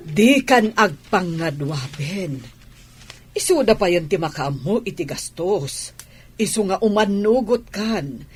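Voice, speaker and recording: A woman's voice: mid-range voice, expressive, takes it slowly, slightly rough. A woman playing a kindly, caring, motherly figure in an audio drama, speaking encouragingly with an Ilocano accent. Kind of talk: dramatized radio-style acting.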